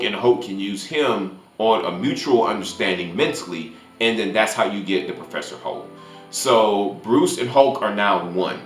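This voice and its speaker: A man with a fairly low voice gives a casual, conversational film recap as a review host. The room adds clear echo to his voice, and music plays faintly behind him. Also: a bit bright. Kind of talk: casual movie recap with spoilers.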